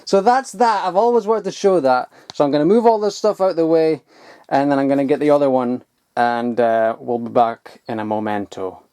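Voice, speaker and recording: A man, chatting casually and excitedly while digging through old toys, rambling and swearing now and then. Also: fairly high pitch, normal speed, clear, resonant tone, no room sound. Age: 20s. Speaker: toy collector vlogger. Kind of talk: casual unboxing chat.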